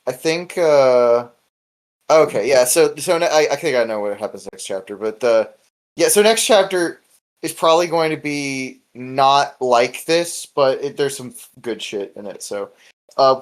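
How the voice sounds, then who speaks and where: Guy hosting a casual podcast, kinda rambly, chuckles a bit, medium pitched voice. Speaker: podcast host.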